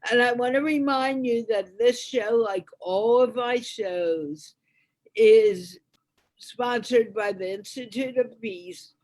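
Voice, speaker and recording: A woman hosting a casual live online interview with a halting delivery. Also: fairly high pitch, darkish tone, rough recording. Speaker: host of online interview show.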